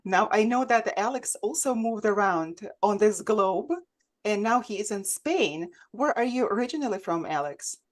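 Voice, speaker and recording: A woman's voice: mid-range voice, lively intonation, moderate pace. A woman hosting a conversational interview show, speaking over a remote video call. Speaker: host.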